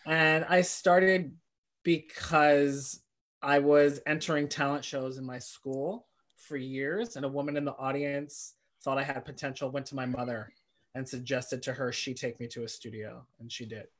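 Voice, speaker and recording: Deliberate man from Massachusetts. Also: mid-range voice, fairly even intonation, fairly bright tone. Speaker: guest dancer and meditation teacher. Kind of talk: casual chat.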